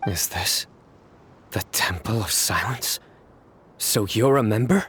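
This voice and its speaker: A low-pitched, stern young man who keeps every line short and calm. His quiet delivery carries a hint of threat. He is a figure in a video game. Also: takes it slowly, a little hiss or hum. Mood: wary.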